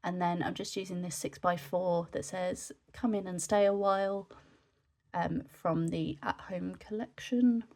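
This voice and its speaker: A young woman from the UK talks through her scrapbook pages in a relaxed, chatty voice-over, full of little ums. She has a cold, so her voice sounds a bit nasal, and it sits a touch low. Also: a little slow. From United Kingdom. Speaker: scrapbooking vlogger. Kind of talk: casual voice-over narration.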